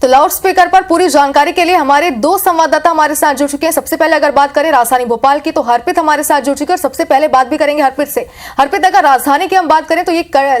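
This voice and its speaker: A woman presenting live television news, addressing viewers directly and restating her points. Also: sits high. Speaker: news anchor.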